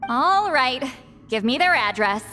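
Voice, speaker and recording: A proud young female shaman from a video game. Her voice is fairly high and swings expressively, with a blunt, impatient, condescending delivery. Faint music plays behind her. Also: big pitch swings, unhurried, bright, forceful tone, exaggerated voice. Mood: exasperated. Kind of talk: English video game voice acting.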